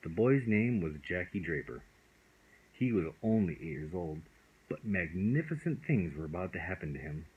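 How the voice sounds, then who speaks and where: A man reading a children's bedtime story as a father, in a deliberate way with the odd stumble. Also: low-pitched, animated, slightly rough, phone-quality sound.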